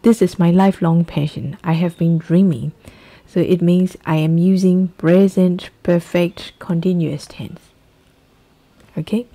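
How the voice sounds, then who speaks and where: A woman from Myanmar with a Burmese accent, an English teacher giving an exam-prep lesson and repeating key phrases slowly to drill them. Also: on the low side, fairly even intonation, warm tone.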